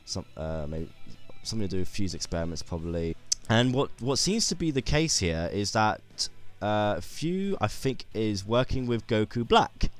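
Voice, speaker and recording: A man with a very low voice and a sore throat talks through a game trailer in a loose, rambling way, often restarting his sentences. Faint music plays underneath him. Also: big pitch swings, normal speed. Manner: rambling, many restarts and fillers. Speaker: YouTube gaming commentator. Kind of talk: casual video commentary.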